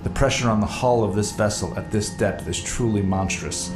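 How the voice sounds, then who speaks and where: An actor playing a nonchalant, teasing mini-sub pilot in an audio drama, folksy and reassuring. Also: male voice, lowish voice, moderate pace, roomy sound, over music, natural voice.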